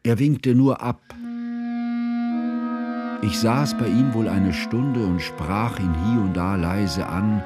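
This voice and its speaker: An actor playing a grave, learned schoolteacher who narrates a radio drama in measured, formal, sombre phrases. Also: male voice, on the low side, over loud music. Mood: grave sorrow. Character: grave, sorrowful, learned male schoolteacher recounting his friend's tragedy. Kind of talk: literary first-person narration in radio drama.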